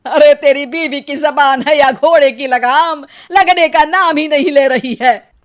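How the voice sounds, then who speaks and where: A woman voicing a quarrelsome, scornful old mother-in-law in a cartoon, with a scolding, sharp-tongued manner. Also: sits high, unhurried, voice pushed down. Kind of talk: exaggerated Hindi cartoon family drama.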